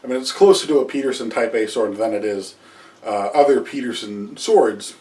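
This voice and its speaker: A man in his thirties talks a camera through a history topic at home, hesitating often with "uh" and circling back to restart phrases. His mid-pitched voice sounds a little distant from the camera. It is an informal lecture, not a scripted read. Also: darkish tone. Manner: halting, many "uh" fillers and restarts, gestures with both hands. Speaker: history video host. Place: small room at home with wall hangings and shields. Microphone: camera a few feet away.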